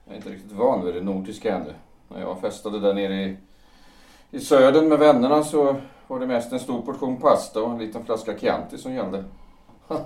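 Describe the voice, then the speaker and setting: A man's voice: fairly low pitch, a little mellow, natural voice. A man playing a wry, world-weary, slightly tipsy man home from abroad in a period audio drama, rambling with irony. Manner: rambling, ironic, hesitant. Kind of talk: audio drama acting of a period stage play. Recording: roomy, distant microphone.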